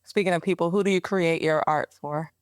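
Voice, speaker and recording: A podcast host interviewing a guest, asking questions in a steady, measured way. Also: medium pitch, clean tone, dry recording, studio quality.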